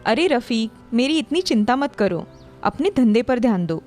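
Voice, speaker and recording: A woman plays a gentle storybook mother with a high voice. She talks to her grown son affectionately and reassuringly, over faint music. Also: very animated, normal speed. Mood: caring.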